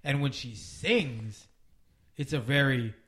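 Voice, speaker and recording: A man at an ordinary middle pitch joins a relaxed conversation among friends. He chimes in often with short slangy agreement, then offers his own quick remarks. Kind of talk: casual chat.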